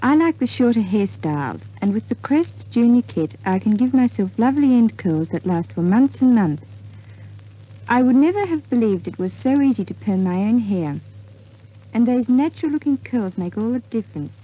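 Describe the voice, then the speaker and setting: High-voiced woman on old radio. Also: big pitch swings, moderate pace, warm tone. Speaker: air hostess giving an advertising testimonial. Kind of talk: scripted 1950s radio testimonial. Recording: dull 1950s radio recording.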